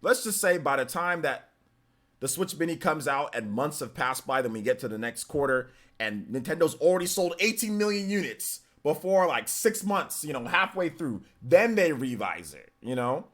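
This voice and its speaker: A young man hosting a live stream, chatting casually and answering viewer comments in an animated, incredulous way, with many restarts. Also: a little high, normal speed.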